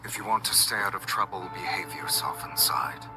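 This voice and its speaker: An actor playing a cold, menacing, teasing male crime boss in a video game, unhurried and commanding. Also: deep voice, expressive. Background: loud game music.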